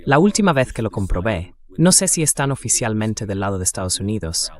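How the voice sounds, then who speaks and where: A man with a fairly low voice speaks as a dubbed guest commentator. His delivery is articulate and emphatic, suited to political analysis. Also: sing-song, brisk.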